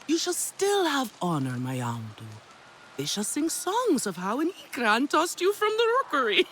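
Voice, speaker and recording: Nurturing alien elder, very expressive. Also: female voice, sits high, moderate pace, slight background noise, exaggerated voice. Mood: tender, encouraging. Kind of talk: dramatic video game acting.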